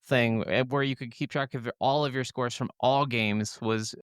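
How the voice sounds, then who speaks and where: A man with a smooth, clear voice talks casually as a podcast co-host. His speech is slangy and peppered with swearing. The sound is dry, with very little room around it. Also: medium pitch, steady tone, normal speed, dry recording. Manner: profane, slangy, says "dude" often. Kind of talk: casual gaming podcast chat.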